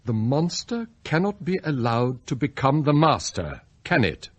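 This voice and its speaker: An actor playing a proud, bitter, plotting butler in a radio drama, with an English accent and formal, theatrical phrasing. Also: male voice, mid-range voice, sing-song, measured pace. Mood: rueful, grandiose. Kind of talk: radio drama acting, monologue and dialogue.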